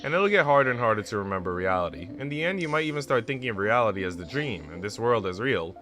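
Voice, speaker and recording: A man reading visual novel dialogue as a gentle, calm, knowing woman. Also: fairly low pitch, fast talker, soft background music.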